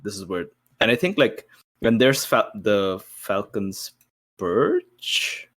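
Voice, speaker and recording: Dude playing a tabletop rpg, lowish voice that swings around a lot, casual and stop-start. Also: male voice, big pitch swings, takes it slowly, fairly bright tone. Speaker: player in a tabletop roleplaying game. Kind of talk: casual tabletop game chat.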